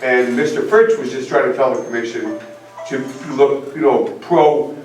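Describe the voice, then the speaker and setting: A man's voice: mid-range voice, moderate pace, distant mic, soft background music. Insistent man in an echoey hall. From Connecticut. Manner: insistent, interrupting, repeats himself. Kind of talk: heated public meeting debate. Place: town meeting room.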